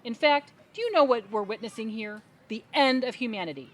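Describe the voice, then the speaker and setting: A woman's voice: a little high, lively intonation, fairly quick. A woman playing an indignant, crusading adult sister in a comedic audio play, speaking in a dramatic, emphatic, speechifying way. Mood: alarmed, ranting. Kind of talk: comedic audio theatre acting.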